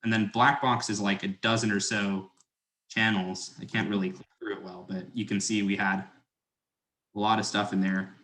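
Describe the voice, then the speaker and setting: A man's voice: on the low side, flat delivery, normal speed, bright, forceful tone. Man explaining casually in echoey room.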